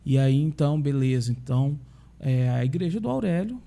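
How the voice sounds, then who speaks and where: A man, a pastor with a Brazilian accent, telling stories in a relaxed conversation and often checking in with tag questions. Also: fairly high pitch, a little fast, creaky. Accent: Brazilian Portuguese. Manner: chatty storyteller, frequent tag questions. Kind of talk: casual podcast conversation.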